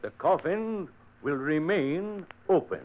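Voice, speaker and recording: An actor playing a rational, authoritative doctor, formal and clinical, in old-time radio drama style. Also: male voice, a little high, lively intonation, slow pace, a little hiss or hum. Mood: measured, firm. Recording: thin, tinny old radio recording.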